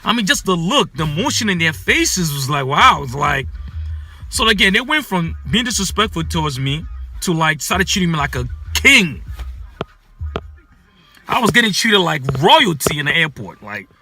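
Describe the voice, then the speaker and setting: A man, born in Ghana and raised in the United States, telling a personal story in a casual, animated way full of "man" and "you know.". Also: on the high side, normal speed, no room sound, soft background music.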